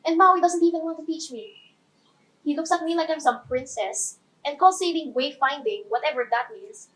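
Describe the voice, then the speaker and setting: A woman's voice: high voice, fairly bright tone, poor audio. A woman playing a cheerful, curious young island heroine in a chatty, theatrical first-person monologue.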